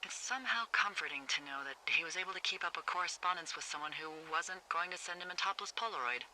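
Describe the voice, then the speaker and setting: A very bright, forward voice with faint noise behind it: a flippant American woman working as a fire lookout. She talks over a two-way radio in a chatty, sardonic way, with the natural delivery of a story-driven video game. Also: fairly low pitch, animated, quick pace, low-quality recording. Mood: wry, candid.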